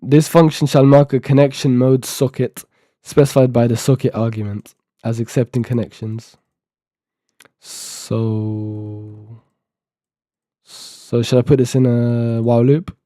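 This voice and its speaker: A man's voice: mid-range voice, little pitch movement, measured pace, dark tone, dry recording, studio quality. An eighteen-year-old man streaming live, thinking aloud and half-reading documentation to himself as he codes, with a sore throat. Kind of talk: casual live-stream talk while coding.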